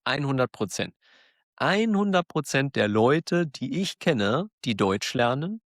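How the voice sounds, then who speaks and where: A man with a German accent, hosting a podcast for learners, talking slowly and clearly in a warm, encouraging way and laughing now and then. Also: mid-range voice, no room sound, clean studio sound. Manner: encouraging, self-deprecating, laughs often. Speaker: German teacher, podcast host. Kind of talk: slow, clear monologue for learners.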